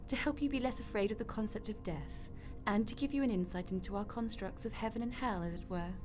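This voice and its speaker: A woman's voice: medium pitch, close to an everyday voice. A woman acting in a radio drama as a breezy, glib God who runs the afterlife with chatty, flippant matter-of-factness.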